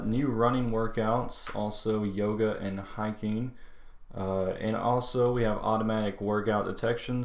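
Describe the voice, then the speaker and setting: A man hosting a casual tech walkthrough, explaining features in an informal way. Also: lowish voice. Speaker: tech video host. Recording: echoey, reverberant room.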